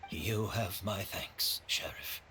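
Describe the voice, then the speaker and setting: A man playing a remorseful, courteous gentleman in a video game, speaking in a measured, earnest way. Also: on the low side, a little hiss or hum, exaggerated voice. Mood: resigned, courteous. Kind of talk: dramatic game voice acting.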